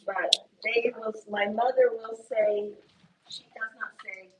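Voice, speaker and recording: Slow-speaking Indiana teacher from afar. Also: female voice, a little high, fairly even intonation. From Indiana, USA. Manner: patient, encouraging, slow and explanatory. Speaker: English teacher. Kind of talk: classroom teaching. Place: classroom. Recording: distant mic in a classroom, faint noise.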